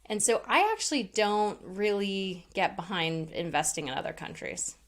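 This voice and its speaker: A woman's voice: medium pitch, lively intonation, moderate pace. Chatty, candid woman guest laughing easily. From Hawaii. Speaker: podcast guest, rental investor. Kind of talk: casual interview chat.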